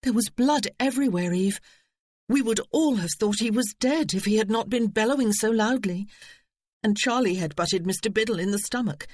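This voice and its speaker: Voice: mid-range voice, moderate pace, no echo, clean studio sound, pitched above the performer's own voice, natural voice. A narrator voicing an anxious young lady companion, explaining in a breathless rush. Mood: upset.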